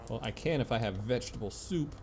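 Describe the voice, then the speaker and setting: A man's voice: medium pitch, expressive, fast, background noise. A man giving casual live commentary on a video game, muttering to himself with short exclamations.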